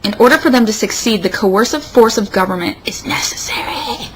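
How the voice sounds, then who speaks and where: A woman's voice: on the high side, lively intonation, fairly quick. A woman co-hosting a mock-dramatic reading with casual banter, speaking sarcastically. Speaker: co-host. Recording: clearly noisy recording.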